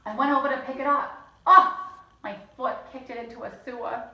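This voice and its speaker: A woman's voice: high-pitched, fairly even intonation, a little fast, lo-fi sound. A woman reading a children's bedtime story aloud as host, chatting between lines and acting out the characters. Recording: echoey room, mic at a distance.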